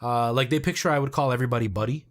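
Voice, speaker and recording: A man's voice: medium pitch, racing. This is a man with a mid-range voice and a generic Canadian English accent, speaking English as a second language. He is a livestreamer who talks casually while playing games. His delivery is chatty and conversational. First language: not English. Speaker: video game streamer.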